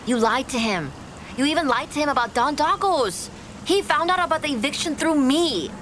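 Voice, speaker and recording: A woman's voice: high-pitched, expressive, moderate pace, fairly bright tone, slight background noise. An actress playing a guarded, skeptical woman in an audio drama, speaking in blunt, clipped phrases with a protective edge. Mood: accusing. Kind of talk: naturalistic audio drama acting.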